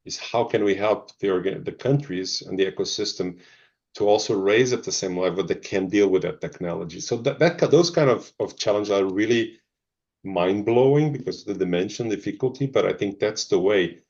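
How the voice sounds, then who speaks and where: A middle-aged man, answering interview questions thoughtfully and pausing often with ums and restarts. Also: on the low side, a little mellow. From Zimbabwe, Portuguese family. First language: Portuguese. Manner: hesitant, many ums and restarts. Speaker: pharma executive guest. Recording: dull lo-fi audio.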